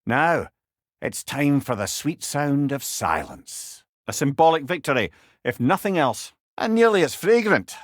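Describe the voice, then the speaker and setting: An avuncular, bearded old Scottish counsellor, a severed head in a video game. His delivery is wry, courtly and wordy, with a salty streak. The voice sits a little high and moves with lots of melody, in a very dry, close studio sound. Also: very animated, a little slow, no echo. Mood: wry, reflective. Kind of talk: video game character dialogue.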